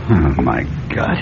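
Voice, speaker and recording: A man's voice: very deep voice, gravelly, heavily acted. An actor playing an anxious, stammering husband in debt and caught in his own scheme, in a classic radio drama. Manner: flustered, stammering. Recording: dull, noisy old radio recording.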